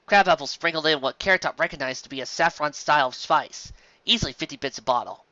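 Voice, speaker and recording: A reader reading a pony fan story aloud with expressive storytelling. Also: medium pitch, fast talker, no echo, highs cut off.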